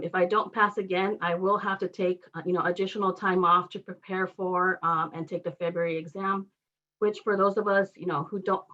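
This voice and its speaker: A woman's voice: on the low side, fairly bright tone. A woman in her thirties whose first language is Spanish, a law graduate telling her story at a public meeting with many hesitant "um"s and "you know"s. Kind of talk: personal testimony at a public meeting. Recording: dull lo-fi audio.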